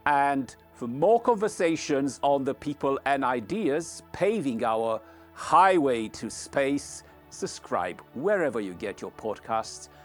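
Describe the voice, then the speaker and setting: A man hosting a podcast, delivering scripted closing remarks in an earnest, formal and measured way. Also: mid-range voice, sing-song, faint music behind. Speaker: podcast host.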